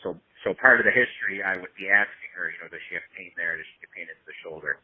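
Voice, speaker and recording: Low-voiced man explaining over the phone. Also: quick pace, slightly rough, no echo. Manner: explanatory, checks in with "right?" and "you know". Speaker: medical consultant advising a colleague. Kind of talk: casual professional phone consultation. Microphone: telephone line. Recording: tinny narrowband phone call, low audio.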